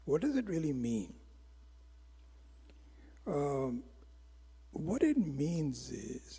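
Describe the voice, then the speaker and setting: A man whose first language is Hindi, giving an informal spiritual lecture on Vedanta in a reflective, unhurried way, with frequent pauses and restarts. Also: mid-range voice, gravelly, mellow, breathy edge, long reverb tail. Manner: reflective and unhurried, pausing often with restarts and fillers. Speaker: Vedanta teacher.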